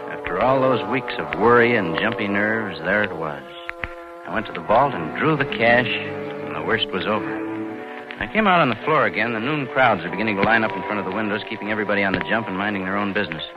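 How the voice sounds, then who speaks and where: An American actor playing a scheming, slangy bank clerk in a hard-boiled 1940s radio crime drama, both speaking and narrating. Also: male voice, fairly low pitch, lively intonation, fast, lightly acted. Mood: tense recounting. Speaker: lead actor and first-person narrator. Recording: dull vintage 1940s radio recording with music.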